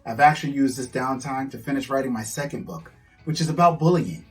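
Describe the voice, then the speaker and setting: A man's voice: medium pitch, animated, a little fast, far from the mic, soft background music. A man, an author, reading his children's storybook aloud to young listeners.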